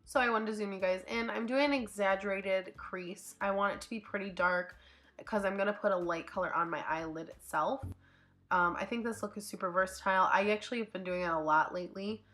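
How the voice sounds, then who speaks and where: A woman's voice: medium pitch, fairly quick. A woman beauty vlogger with a cold and a stuffy nose, chatting casually as she narrates her makeup tutorial. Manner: chatty, upbeat, thinks out loud with fillers. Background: faint background music.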